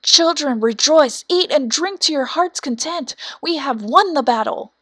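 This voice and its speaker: A woman's voice: sits high, expressive, a little slow, fairly bright tone, dry recording, clean studio sound, pitched above the performer's own voice. A woman voicing a proud, protective willow-wren king in a storybook, speaking in a stern, regal manner. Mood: triumphant. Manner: regal, stern, declaring threats and orders. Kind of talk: storybook character voice.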